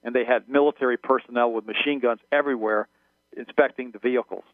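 A man speaking quickly over a tinny phone line, heard dry with no room around him. He rambles, stumbles and restarts, and German was his first language. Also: medium pitch, fairly even intonation, dry recording. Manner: rambling, repeats words and restarts often. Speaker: guest. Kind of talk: phone-in radio interview.

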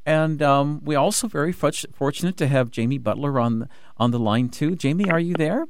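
A man hosting a radio talk show from the studio, talking in an excited rush with many restarts and fillers. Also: on the high side, moderate pace, clean tone, no echo. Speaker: radio host.

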